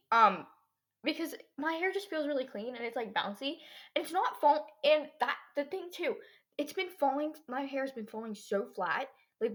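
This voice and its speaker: A teenage girl hosting her own podcast, chatting in a rambling way with lots of fillers while her voice is going hoarse. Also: medium pitch, fairly bright tone. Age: teens. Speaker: podcast host. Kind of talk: casual solo podcast chat.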